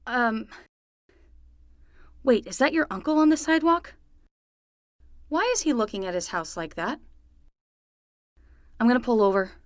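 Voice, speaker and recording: A woman acts as a young nanny roped into detective work in a fiction podcast. She is earnest and exasperated, with a bright, high, very expressive voice. The recording is dry and close. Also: no room sound, exaggerated voice. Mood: defensive, puzzled. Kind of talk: scripted fiction podcast acting.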